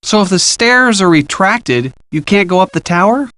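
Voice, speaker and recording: A man's voice: high-pitched, expressive, normal speed, sharp tone, no echo. An easygoing college-age guy from a video game. He talks in a casual, supportive way. The recording is close and dry, with no room around his voice.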